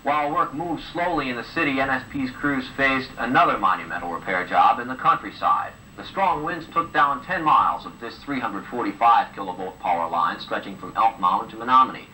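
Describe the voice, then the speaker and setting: A man's voice: mid-range voice, expressive, a little fast, few pauses, sharp tone. A man presenting the news, reading scripted broadcast narration. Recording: old home videotape of TV news, distant, hissy.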